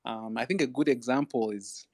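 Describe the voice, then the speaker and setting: A man's voice: medium pitch, moderate pace, darkish tone, dry recording, studio quality. Thoughtful man. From Uganda. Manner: thoughtful and searching, restarts often. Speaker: panelist.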